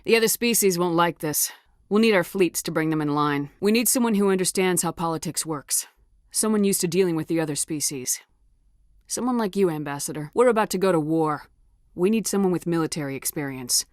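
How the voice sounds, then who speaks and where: A woman's voice: fairly low pitch, dry recording, studio quality, close to an everyday voice. An actress playing a tough, resolute female military commander in a sci-fi video game, speaking with firm, clipped authority.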